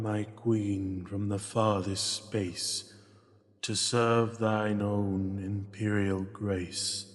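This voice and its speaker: A man voicing a solemn, servile spirit of a magic mirror in a fantasy audio roleplay, speaking in measured, archaic rhyme. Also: on the low side. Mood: solemn deference. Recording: long cavernous reverb.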